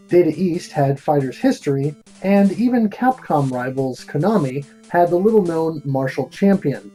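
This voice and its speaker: A man's voice: fairly high pitch, warm tone, husky, poor audio. A man hosting a retro video game review, reading scripted narration in a theatrical, wordy and wry manner.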